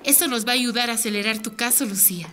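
A woman's voice: fairly high pitch, fast talker, bright, forceful tone. A woman playing a direct, earnest women's rights advocate in a radio drama, speaking insistently. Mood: reassuring, determined.

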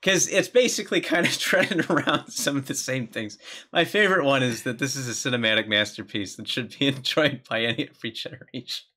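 A man's voice: mid-range voice, fairly quick, sharp tone. A man in his thirties co-hosting a podcast, chatting casually about films. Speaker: podcast co-host. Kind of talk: casual film discussion. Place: small home room, indoors.

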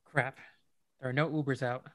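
Actor as a tired young husband, dry close sound. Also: male voice, medium pitch, steady tone, moderate pace, fairly bright tone, no echo, close to an everyday voice. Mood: practical, weary. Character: tired, down-to-earth young husband, practical and caring. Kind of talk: naturalistic modern radio drama acting.

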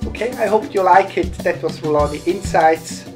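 A man in his fifties reading a bedtime story aloud for children in an expressive, deliberate way, as a lodge host. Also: high-pitched, music in the background. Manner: slow, expressive, deliberate phrasing. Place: lodge bedroom, lying in bed.

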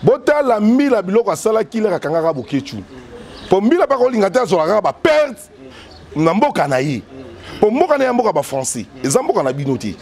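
Animated Congolese man, lively pitch. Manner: emphatic, gesturing, switching French and Lingala. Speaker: interview guest, former soldier and politician. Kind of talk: animated political talk. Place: indoors by a yellow wall. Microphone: furry handheld mic held close.

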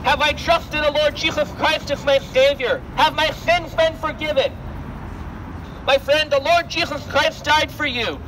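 A young man with a strikingly high voice preaches on a city street. He projects earnestly in a declamatory sermon style, repeatedly addressing the listener. Heavy traffic noise surrounds him. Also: steady tone, unhurried. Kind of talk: open-air gospel sermon. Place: outdoors on a busy city sidewalk.

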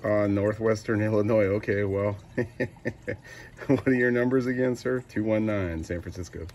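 American guy on cb radio, low voice, clipped casual calls, really noisy static. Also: fairly even intonation, moderate pace. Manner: clipped, repeats call signs. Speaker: CB radio operator. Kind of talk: casual radio call-outs.

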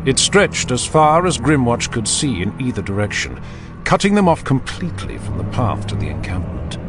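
A man giving scripted, dramatic narration of a fantasy audiobook with grave, deliberate phrasing. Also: mid-range voice, big pitch swings, music in the background.

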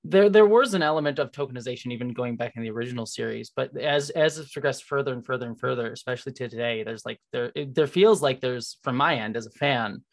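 An interview host talking casually and enthusiastically as a fan, in a rambling, halting way on a video call. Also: medium pitch. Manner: rambling, halting, filler-heavy. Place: indoors, remote video call.

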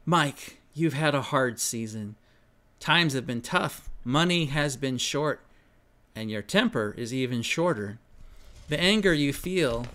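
A man voicing a wise male raven who speaks calmly and knowingly to a troubled man in a spoken story. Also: medium pitch, voice pushed down.

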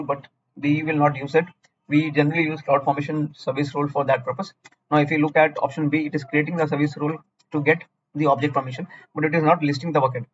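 Casting: Indian man, rapid blunt tutor voice, slightly higher pitch, dull lo-fi voice-over. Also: a little hiss or hum. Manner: blunt, repetitive, scolding lecturing. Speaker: cloud certification tutor. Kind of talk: screen-recorded exam walkthrough.

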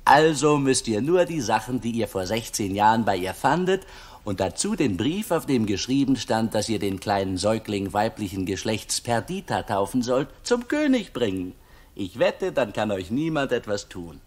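Earnest peasant shepherd, raspy, animated, plain-spoken, sixties radio comedy. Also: male voice, fairly low pitch, normal speed. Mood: arguing. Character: simple, earnest male shepherd peasant, naively crafty. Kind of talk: 1960s German radio play acting.

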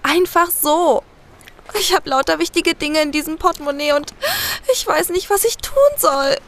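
A woman playing a young female client in a radio play, high and girlish. She is naive and earnest, seeking help from detectives. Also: normal speed, fairly bright tone. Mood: distraught, pleading. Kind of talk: audio-drama acting.

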